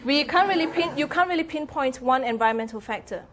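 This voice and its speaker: A woman's voice: high-pitched, animated, fast, a little hiss or hum. A woman lecturer leading a classroom discussion, probing students with follow-up questions. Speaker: psychology lecturer. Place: lecture room.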